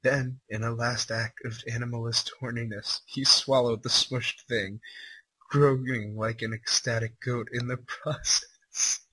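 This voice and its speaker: A man with a bright, forceful voice and a slightly rough, husky edge reads aloud on a livestream. He treats the text theatrically and with open mockery, as an amateur dramatic reader and commentator would. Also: mid-range voice, level tone, measured pace, low-quality recording. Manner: incredulous asides, breaks into laughter. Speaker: livestream host reading a fan story aloud. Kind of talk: live dramatic reading with mocking commentary.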